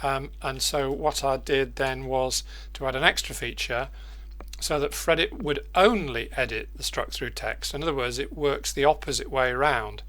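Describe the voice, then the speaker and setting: An older man presenting an informal screencast software tutorial, hesitant and thinking aloud as he demonstrates. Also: medium pitch, normal speed. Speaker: presenter of editing macro tutorials.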